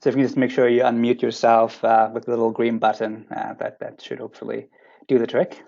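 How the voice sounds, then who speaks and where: A man, not a native English speaker, hosting a training webinar, talking haltingly with many fillers and restarts over lo-fi web audio. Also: medium pitch, monotone, vocal fry, darkish tone. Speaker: training program coordinator, webinar host. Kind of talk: hesitant webinar presenting.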